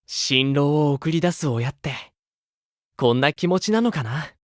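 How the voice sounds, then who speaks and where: A man playing a gentle, sentimental grown male idol in a Japanese mobile game, affectionate and excitable in manner. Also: fairly high pitch, a little slow, no echo, studio quality. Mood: doting, moved.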